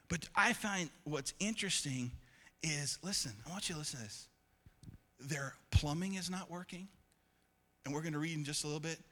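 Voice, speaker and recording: A man in his forties preaching a sermon as pastor, with call-and-response asides, swinging from loud urging to soft speech. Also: medium pitch. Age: 40s.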